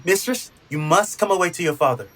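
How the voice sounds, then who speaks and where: Picture a man acting out a nimble court fool in a Shakespeare radio play. The high male voice teases with mock solemnity and playful patter, over faint background noise. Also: lively intonation, a little fast, a bit bright, poor audio.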